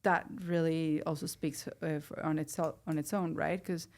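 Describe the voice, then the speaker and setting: A woman's voice: sits low, flat delivery, moderate pace, darkish tone. A woman talking casually on a podcast, often checking for agreement as she goes. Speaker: podcast speaker. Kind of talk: casual interview conversation.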